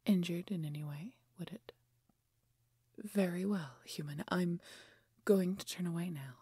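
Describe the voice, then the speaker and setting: A proud, grudging female dragon, acted by a woman in a fantasy audio roleplay. Her voice is deep and dark, heavy with breathy huskiness. She speaks with theatrical, imperious weight, like wounded royalty. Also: no echo, clean studio sound, full character voice. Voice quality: breathy, husky. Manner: imperious, theatrical, deliberate phrasing.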